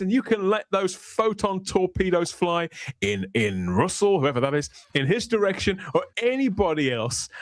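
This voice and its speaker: A man's voice: high voice, dry recording. A man hosting a casual livestream chat, playful and wordy, rambling through his introductions. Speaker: livestream host.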